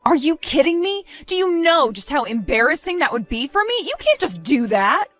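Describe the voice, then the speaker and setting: A woman's voice: sits high, very animated, normal speed, fairly bright tone, soft background music. A woman acting an evasive wife in a dramatized story, sweet but easily flustered and indignant, with theatrical expression. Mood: defensive, indignant. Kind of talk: dramatized text-message story narration.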